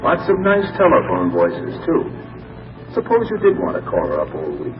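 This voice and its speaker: A man's voice: low voice, huge pitch swings, moderate pace. A man acting as a man's brooding, insistent inner voice in 1940s radio drama style. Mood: nagging, consoling. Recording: distant dull radio sound, loud music behind.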